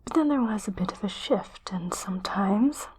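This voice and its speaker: A woman playing a calm, reassuring sci-fi doctor in a hushed, soothing whispered ASMR roleplay. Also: medium pitch, slight background noise, lightly acted. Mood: gentle reassurance. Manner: slow, soothing, hushed delivery. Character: calm, reassuring female doctor on an alien-planet expedition.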